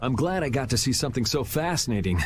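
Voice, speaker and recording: Actor as wry young swordsman, lively inflection. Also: medium pitch, quick pace, slight background noise, natural voice. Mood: cool, curious. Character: cool, independent young male swordsman, wry rebel. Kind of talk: video game voice acting.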